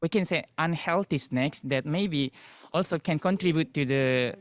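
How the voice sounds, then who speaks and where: A man from Indonesia with an Indonesian accent, an overseas student giving a halting, earnest comment in a panel discussion. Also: on the high side, no echo. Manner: halting, earnest, searches for words.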